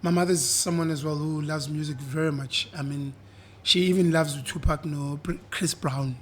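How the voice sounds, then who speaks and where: Brief: husky-voiced South African man in his twenties or thirties, slightly high-pitched, relaxed guest talk with a faint hiss behind. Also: normal speed. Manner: relaxed, switches between English and isiZulu, repeats "yeah". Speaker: guest, singer. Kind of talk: casual interview chat.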